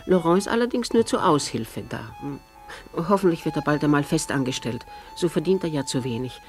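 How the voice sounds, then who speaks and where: Frail elderly shopkeeper, kindly and sighing, low for a woman, old radio play with music. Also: animated, a little fast. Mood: confiding. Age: older. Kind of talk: 1950s-style German radio play acting.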